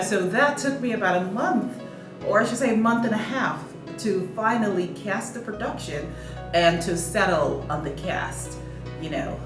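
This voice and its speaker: A woman who is a theatre director, speaking reflectively in an interview with frequent fillers. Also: lowish voice. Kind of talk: behind-the-scenes interview. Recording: echoey room, distant mic, music behind.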